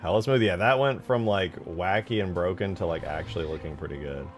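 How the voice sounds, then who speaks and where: Low-voiced man casually thinking aloud. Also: brisk. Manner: informal slangy, muttering to himself. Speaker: game modder streaming. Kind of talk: casual thinking-aloud commentary while working.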